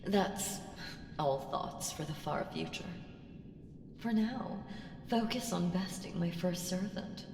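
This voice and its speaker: Slow, echoing, creaky ancient evil woman, acted out. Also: on the low side. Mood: condescending warning. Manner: deliberate, teasing, condescending, dropping into breathy whispers. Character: ancient evil female entity, powerful, haughty, coolly menacing patron. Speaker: audio roleplay voice actor. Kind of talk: slow ASMR audio roleplay monologue. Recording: echoing long hall reverb, ambient hiss.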